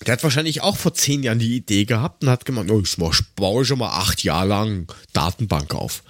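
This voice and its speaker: A man's voice: on the low side, animated, a little fast, dry recording. Grumbling Austrian man, dry close recording. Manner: grumbling, sarcastic, coarse-mouthed rambling. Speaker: podcast co-host. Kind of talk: casual podcast chat.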